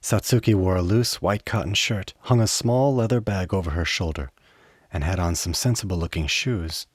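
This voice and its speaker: Male narrator, low pitch, measured storytelling, radio drama, dry studio-like sound. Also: breathy edge, dry recording.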